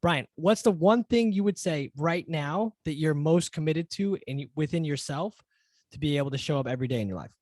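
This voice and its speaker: A man in his thirties co-hosting a casual podcast over a video call from a small home room, speaking through a boom-arm desk mic, upbeat and quick to laugh. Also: expressive, darkish tone, dry recording. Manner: upbeat, laughs often, many fillers. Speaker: podcast co-host.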